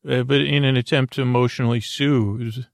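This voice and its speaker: Guy with a gravelly creaky voice doing a rambly bedtime podcast, trails off on tangents, lulling, very dry sound. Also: mid-range voice, steady tone, dry recording. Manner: meandering, halting, lulling, full of tangents. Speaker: sleep podcast host.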